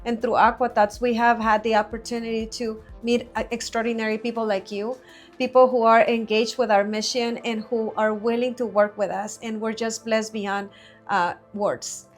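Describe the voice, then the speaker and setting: A woman from Mexico, in her forties, talks in a higher voice that stays strikingly level and even. She answers earnestly and at a measured pace, pausing on small fillers, and light music plays faintly underneath. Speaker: guest business owner. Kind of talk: interview answers. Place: studio.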